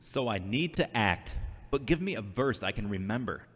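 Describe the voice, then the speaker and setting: A man's voice: lowish voice, expressive, normal speed. A man playing an awkward, reserved homebody in an audio drama. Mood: subdued, grateful. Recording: long echoey reverb.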